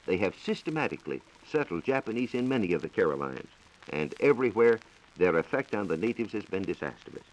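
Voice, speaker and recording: Man, American accent, low pitch, animated intonation, formal science lecturer, scripted 1940s radio broadcast. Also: a little hiss or hum, rough recording. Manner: measured, formal lecturing delivery. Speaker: science reporter.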